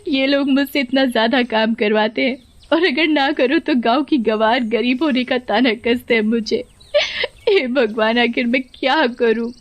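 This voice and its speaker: A woman's voice: a little high, unhurried, highs cut off, voice pushed up, natural voice. A woman voicing a meek, sorrowful young village bride in a cartoon story, plaintive and submissive. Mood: tearful despair. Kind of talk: Hindi cartoon story voice acting.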